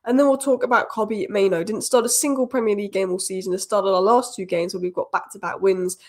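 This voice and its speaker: A woman rattles through football news at a brisk clip, her voice a little croaky from a cold. She gives strong fan opinions in quick, decisive bursts, at a middle pitch. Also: fairly even intonation, darkish tone. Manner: opinionated, rapid-fire fan commentary. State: has a cold, slightly croaky. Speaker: football channel host. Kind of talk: fast solo news roundup.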